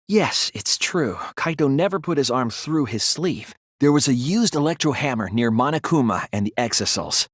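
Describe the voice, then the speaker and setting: A teenage boy detective from an anime mystery game, earnest and resolute. His delivery is animated and swings in pitch, with a slightly husky, breathy edge. He reasons carefully in a very dry, close recording. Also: dry recording. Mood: thoughtful, insistent. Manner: measured, careful explaining with emphatic bursts. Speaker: video game voice actor. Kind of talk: English-dubbed anime mystery game dialogue, deductive argument.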